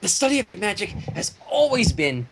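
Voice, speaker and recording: A man reading a story theatrically on a livestream, breaking off into casual banter, with a husky voice. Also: high voice, sing-song, normal speed, fairly bright tone, a little hiss or hum. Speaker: fan fiction reader and livestream host.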